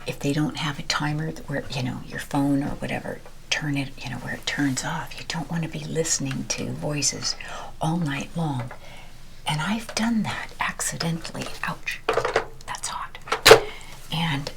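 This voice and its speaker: A woman whispering a rambling ASMR chat while ironing, her voice with a deeper timbre. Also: gravelly, background noise. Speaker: ASMR creator. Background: ironing noises.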